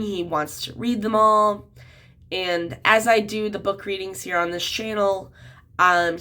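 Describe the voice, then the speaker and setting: A young woman in her twenties talks casually to her viewers in a lowish voice. She is a bit sick, so her voice sounds slightly off. She rambles with plenty of "um" and "like" between thoughts. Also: sharp tone. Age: 20s. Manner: chatty, many "um" and "like" fillers. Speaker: children's book author. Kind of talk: casual talk to camera.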